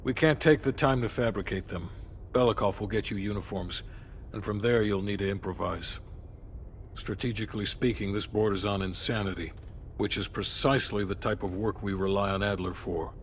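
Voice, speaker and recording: Man acting stern, low-voiced intelligence handler. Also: normal speed, slight background noise, lightly acted. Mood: stern, sardonic. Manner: clipped, authoritative, sarcastic. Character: stern, skeptical, sardonic male intelligence handler. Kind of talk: cinematic game dialogue acting.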